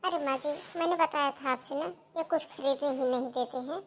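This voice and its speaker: A young daughter-in-law whines and pleads in a high voice, as a woman acts her. Her talk is full of complaints, typical of a cartoon family drama. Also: a little fast, lightly acted. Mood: complaining. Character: young daughter-in-law, whiny and shopping-mad. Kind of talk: cartoon voice acting.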